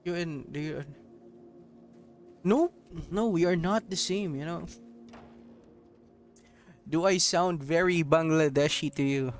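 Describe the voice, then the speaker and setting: A man's voice: a little high, slow pace, long pauses, faint music behind. A man from Southeast Asia giving rambling, casual gameplay commentary, laughing often and swearing offhandedly. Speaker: mobile game streamer.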